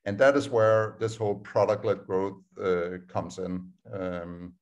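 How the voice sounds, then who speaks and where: A man's voice: sits low, measured pace, muffled recording. Danish man presenting on a video call. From Denmark. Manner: casual, frequent uh fillers, steady explaining. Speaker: startup co-founder giving a talk. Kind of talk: online presentation over screen share.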